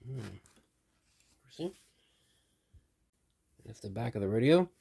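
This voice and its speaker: A man's voice: mid-range voice, animated, unhurried, vocal fry, mellow, breathy edge. A man casually narrating an unboxing as a hobbyist host, musing and thinking aloud. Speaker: radio hobbyist video host.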